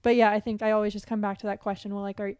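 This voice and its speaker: A woman guest on a podcast, an athlete and coach, talking candidly and casually in a halting, reflective way full of "like". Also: mid-range voice, little pitch movement, a little mellow, clean tone, no room sound. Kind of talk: candid casual interview chat.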